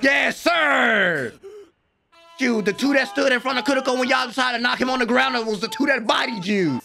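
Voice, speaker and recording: A young American man giving excited, slangy reaction commentary at home on a close microphone, with an exclamatory manner. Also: very high voice, normal speed, vocal fry, shouting, soft background music. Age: young adult. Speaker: reaction video host. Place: small room at home. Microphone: close desk microphone with headphones.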